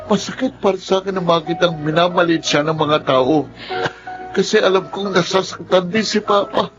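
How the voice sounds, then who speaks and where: An actor with a Filipino accent playing a troubled, loyal young son in a radio drama, earnest and aggrieved. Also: male voice, sits high, normal speed, close to an everyday voice. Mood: pained, earnest. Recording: dull audio under clear background music.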